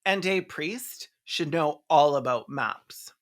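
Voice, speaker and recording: Video essayist roasting a movie, sarcastic and sweary, medium pitched voice. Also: takes it slowly, a bit bright. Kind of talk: comedic film review commentary.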